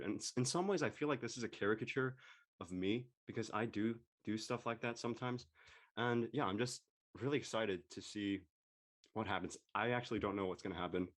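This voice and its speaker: Fairly low-voiced man chatting casually. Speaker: actor. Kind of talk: casual chat.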